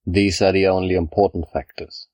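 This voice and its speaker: A man leading a scripted guided meditation, speaking in a calm, measured, instructional way with pauses between phrases. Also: low-pitched, dry recording. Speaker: yoga nidra guide.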